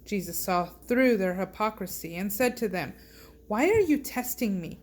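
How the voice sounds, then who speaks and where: A woman reading scripture aloud with measured, deliberate phrasing. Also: mid-range voice, expressive, darkish tone. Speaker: scripture reader and host.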